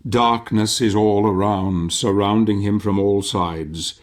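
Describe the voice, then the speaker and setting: An actor playing a solemn, ominous older storyteller, narrating a video game in a theatrical, measured manner. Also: male voice, on the low side, steady tone. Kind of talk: scripted dramatic game narration.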